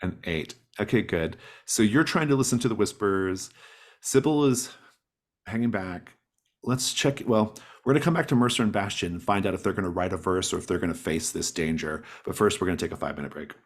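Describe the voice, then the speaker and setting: A man with a low, husky voice runs a tabletop roleplaying game. He narrates scenes off the cuff as game master and checks in with his players. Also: brisk.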